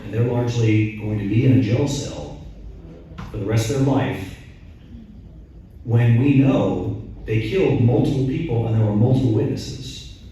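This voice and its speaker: A man's voice: mid-range voice, animated, moderate pace, warm tone, a little hiss or hum. A middle-aged priest answers audience questions at a talk. He is emphatic, asks rhetorical questions and often restarts. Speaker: priest and guest speaker. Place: large echoey church hall. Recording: very echoey hall, voice from far off.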